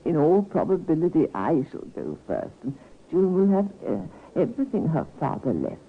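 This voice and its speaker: Elderly mother for radio drama: fluttery, doting, animated, on a thin old recording. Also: deep voice, normal speed, creaky, a little hiss or hum, full character voice. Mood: fond, chatty. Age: older. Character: chatty, sweet-toothed elderly mother, fond and fluttery. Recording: muffled narrow-band vintage radio recording.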